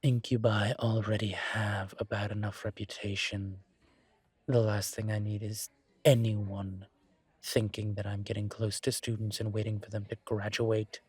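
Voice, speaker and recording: A man voicing a suave, teasing incubus professor in an intimate audio roleplay, courteous and measured, with deliberate pauses. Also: lowish voice, little pitch movement, breathy edge, no echo. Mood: measured, candid. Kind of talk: intimate one-sided audio roleplay acting.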